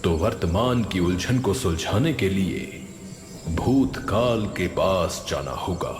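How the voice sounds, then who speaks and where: A man voicing a wise, solemn old village guru who makes slow, formal pronouncements in a moral story. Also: deep voice, animated, echoey room, soft background music. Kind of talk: animated moral story dialogue.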